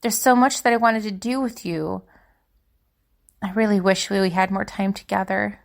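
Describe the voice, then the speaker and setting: A woman's voice: medium pitch, steady tone, normal speed, creaky, close to an everyday voice. A woman playing a young spellcaster student in an audio drama, confiding and hesitant, anxious and wistful. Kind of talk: dramatic monologue in fiction podcast.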